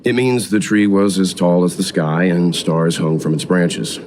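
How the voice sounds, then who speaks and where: A man playing a composed, courteous traveler in an anime-style video game, speaking in a calm, formal way over music. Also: low-pitched, measured pace, a little hiss or hum. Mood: calm, polite.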